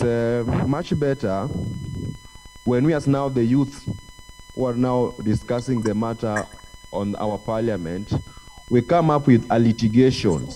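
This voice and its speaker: A man's voice: mid-range voice, dark tone. A young man delivering a formal debate speech as a youth parliament delegate, earnest and full of fillers. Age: young adult. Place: debate hall. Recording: voice from far off a mic.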